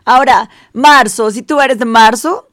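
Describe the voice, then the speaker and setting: A woman's voice: a little high, a little slow, a bit bright, no echo. A woman hosting a radio segment in a studio, bubbly and reading out a list with playful emphasis. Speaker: radio host.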